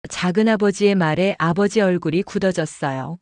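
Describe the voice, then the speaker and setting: A woman narrating an audio drama in the first person, in a calm storytelling manner, recorded close. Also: lowish voice, moderate pace, dry recording.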